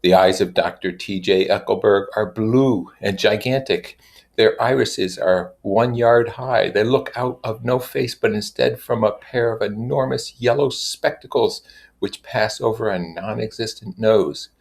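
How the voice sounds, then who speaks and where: A man reading literature aloud in a measured way, with casual explanatory asides. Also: on the low side, creaky. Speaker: reader and commentator.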